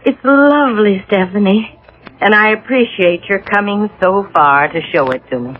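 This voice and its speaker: An actress playing a kindly, motherly woman, gentle and indulgent, in a radio drama. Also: mid-range voice, moderate pace, natural voice. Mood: gently indulgent. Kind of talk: 1980 radio drama acting. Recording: tinny, narrow old radio broadcast.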